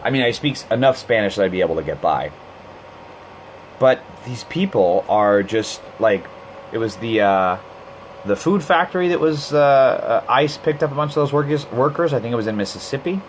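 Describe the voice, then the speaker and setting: Man, mid pitch, blunt heated live-stream rant, swears, restarts a lot, faint hum behind. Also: lively intonation, moderate pace, poor audio. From Costa Rica. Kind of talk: casual monologue.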